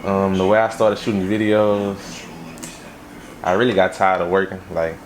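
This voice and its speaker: A man's voice: low voice, steady tone, normal speed, a little hiss or hum. A young man from Los Angeles raised in Baton Rouge, a music video director answering interview questions in a laid-back way with lots of fillers. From Los Angeles, raised in Baton Rouge, Louisiana.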